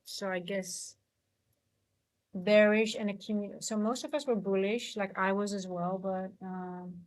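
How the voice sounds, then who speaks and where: A woman's voice: medium pitch, fairly even intonation, a little slow. A woman hosting a live trading stream, giving casual commentary to her chat, thinking aloud tentatively and often trailing off mid-sentence. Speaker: livestream host, futures trader and coach.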